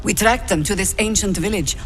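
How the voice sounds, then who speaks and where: A woman playing a shrewd, very old druid heroine in a fantasy game, speaking in a measured, formal, authoritative way. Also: medium pitch, natural voice. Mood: wary, grave. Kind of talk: fantasy video game dialogue.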